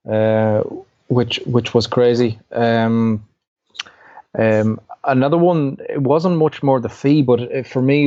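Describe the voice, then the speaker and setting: A man's voice: mid-range voice, warm tone. A man chatting casually on a podcast panel, rambling with many restarts. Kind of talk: casual chat. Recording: dull lo-fi podcast audio.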